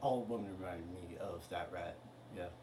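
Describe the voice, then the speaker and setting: A man's voice: low voice, moderate pace, lightly acted. A man playing a crude, boastful philosopher in an amateur comedy sketch, talking laid-back with lots of fillers. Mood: smug. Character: crude boastful male philosopher, slacker drawl. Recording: roomy, distant, noisy home recording.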